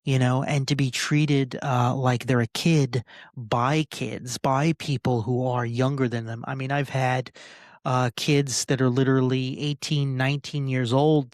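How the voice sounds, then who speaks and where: A man in his forties delivers a casual podcast rant with little variation in pitch. His phrasing is halting and circles back on itself, with a frustrated, sweary edge. The sound is dry, with very little room in it. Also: little pitch movement, no echo. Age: 40s. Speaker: podcast host. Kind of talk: casual personal rant to listeners.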